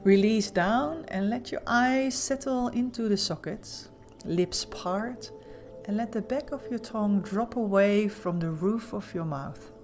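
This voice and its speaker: A woman leading a guided meditation as an instructor, giving gentle, soothing, softly spoken instructions with pauses. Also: mid-range voice, faint music behind.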